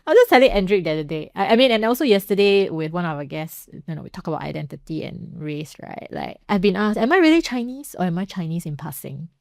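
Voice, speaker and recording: A woman's voice: fairly low pitch, very animated, fast, gravelly, hardly any pauses, no room sound, studio quality. Chatty Singaporean woman podcast host. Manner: chatty, storytelling, frequent "like" and "you know". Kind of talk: casual conversational interview.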